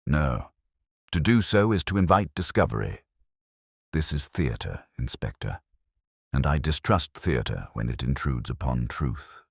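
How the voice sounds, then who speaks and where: Casting: male narrator as a self-assured storybook detective, very low voice, precise, deliberate, expressive phrasing. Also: gravelly, breathy edge, no room sound, studio quality, heavily acted. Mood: cool, certain.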